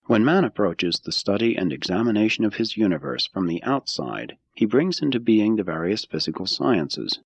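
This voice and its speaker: Deep, husky man narrating with expressive range. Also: moderate pace, hardly any pauses, no room sound. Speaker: audiobook narrator. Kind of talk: scripted audiobook reading of religious philosophy.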